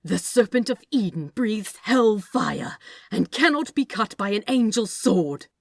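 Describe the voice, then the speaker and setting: A woman's voice: mid-range voice, expressive, a little slow, creaky, no room sound, clean studio sound, voice pushed up. A woman voicing a fierce but caring male angel in a storybook reading, with a snapping, emphatic, commanding manner. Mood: furious, commanding.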